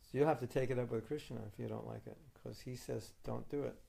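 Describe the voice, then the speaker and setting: Gravelly man teaching firmly. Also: mid-range voice, steady tone, fast talker, warm tone. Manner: firm, reasoning, argumentative. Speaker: spiritual teacher answering questions. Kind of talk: question and answer session. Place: meeting room.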